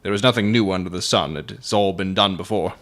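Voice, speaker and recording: A man playing a confident, sardonic Victorian detective in a dramatic audiobook, speaking with crisp authority. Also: low-pitched, fast, fairly bright tone. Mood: instructive.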